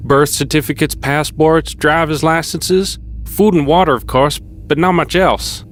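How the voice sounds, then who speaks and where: An actor playing a wary older male survivor in an audio drama, gruff and folksy. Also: a little high, fairly even intonation, a little slow, faint music behind, natural voice. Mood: insistent, suspicious. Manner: folksy, plain-spoken. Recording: clear background noise.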